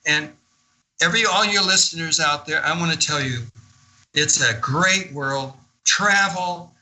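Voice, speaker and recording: An older man speaks with a very bright, forceful edge and a slightly high pitch for a man. He is an enthusiastic interview guest who tells his stories conversationally and leans hard on his points. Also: low-quality recording. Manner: enthusiastic, emphatic. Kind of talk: animated conversational storytelling in a radio interview.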